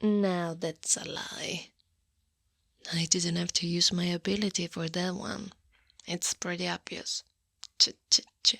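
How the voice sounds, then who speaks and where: An Italian woman playing a tall, teasing Krampus monster woman in a soft, breathy ASMR roleplay, often dropping into a whisper. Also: on the low side, no room sound, clean studio sound, full character voice. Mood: probing, stern. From Italy. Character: eight-foot horned furry Krampus woman, teasing, coaxing, in control.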